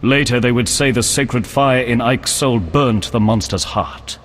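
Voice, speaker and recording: An actor playing an earnest, pious knight in a video game, speaking in a formal, courteous and solemn manner. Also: male voice, mid-range voice, a little slow, fairly bright tone, close to an everyday voice. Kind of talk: video game character acting. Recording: long echoing reverb.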